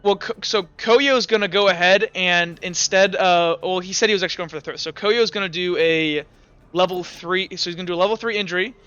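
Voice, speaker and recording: A young man runs a tabletop game, narrating the fight in a rambling way full of "like" and "uh". His voice sits high and comes through smooth and crisp. The recording sounds dull and lo-fi. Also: fairly quick, bright, forceful tone, dry recording. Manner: rambling, lots of likes and uhs. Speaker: game master. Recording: dull lo-fi mic.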